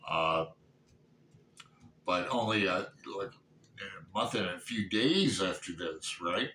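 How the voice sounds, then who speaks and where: A man in his sixties chatting casually and unscripted about books, halting and rambling as he thinks aloud. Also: deep voice, lively intonation, pushed and bright. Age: 60s. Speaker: book reviewer vlogger. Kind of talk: casual unscripted book chat. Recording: roomy echo, distant mic, background noise.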